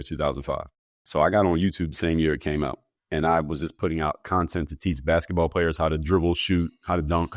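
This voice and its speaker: Male coach guest, very deep voice, steady and explanatory, dry close sound. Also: no room sound. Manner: steady, explanatory, matter-of-fact. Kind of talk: interview answers.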